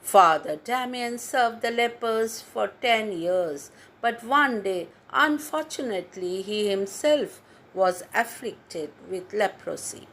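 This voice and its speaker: A woman storyteller narrates moral tales for children at a very slow pace. She reads deliberately, phrase by phrase, in a medium-pitched voice. Faint noise sits behind her. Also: expressive, fairly bright tone.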